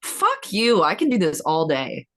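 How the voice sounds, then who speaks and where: Woman acting a defiant, slow-drawling beauty queen. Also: fairly low pitch, wildly swooping pitch, fairly bright tone, full character voice. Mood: defiant, taunting. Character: defiant beauty queen fighting a masked killer. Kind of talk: screenplay table read.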